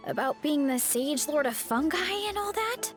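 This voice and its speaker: A woman's voice: high voice, expressive, moderate pace, soft background music. A woman playing a sweet, earnest, easygoing young warrior girl in a video game.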